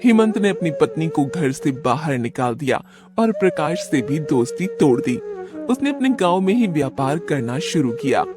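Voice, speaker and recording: A male storyteller narrates a cartoon moral tale. His voice is fairly high and moves in a lively, animated way, with music playing clearly behind the scripted narration. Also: normal speed.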